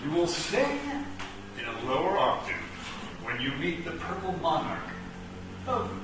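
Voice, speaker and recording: A man's voice: on the high side, big pitch swings, measured pace. A man playing a smug, flirtatious, chauvinist chess king in a comic radio play, suave and mocking. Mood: gloating. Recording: echoey room, distant mic, background noise.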